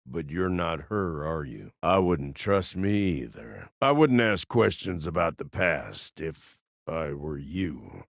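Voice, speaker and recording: Gravelly old American part-machine gunfighter. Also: male voice, rumbling low, very animated, takes it slowly, few pauses, no room sound, clean studio sound, full character voice. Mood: guarded, subdued. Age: older. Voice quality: gravelly, husky. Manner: folksy drawling slang, wry and grumbling. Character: gruff, grizzled old part-machine gunfighter, wry and world-weary. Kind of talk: video game character voice lines.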